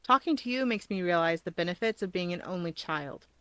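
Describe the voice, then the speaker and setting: A woman's voice: fairly low pitch, animated, brisk, fairly bright tone, dry recording. A woman voicing a cheerful, easygoing schoolboy with an upbeat, casual manner in a story reading. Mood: amused. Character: cheerful, easygoing schoolboy humoring his friend. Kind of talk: audiobook character voice.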